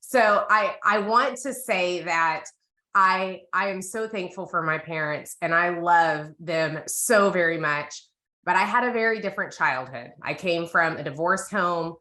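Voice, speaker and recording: A woman's voice: lowish voice, measured pace, bright, forceful tone, reverberant. An American woman in her thirties giving a candid, casual personal testimony as a co-host. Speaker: co-host of a women's Bible reading group.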